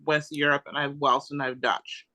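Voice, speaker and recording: A man chatting casually in a group discussion, halting as he thinks aloud. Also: a little high, little pitch movement, bright, forceful tone. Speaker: participant in a genealogy group discussion. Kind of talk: casual chat.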